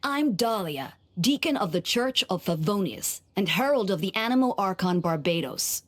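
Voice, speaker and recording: A woman's voice: mid-range voice, lively intonation, moderate pace, lightly acted. A woman voicing a breezy young male church deacon in a video game, playful and self-assured. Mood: playful, confident. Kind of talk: video game character acting. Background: faint background music.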